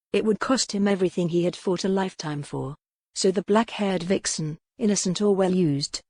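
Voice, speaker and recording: A woman's voice: lowish voice, darkish tone, no room sound, clean studio sound. A woman reading scripted, dramatic narration from a historical romance novel as an audiobook narrator.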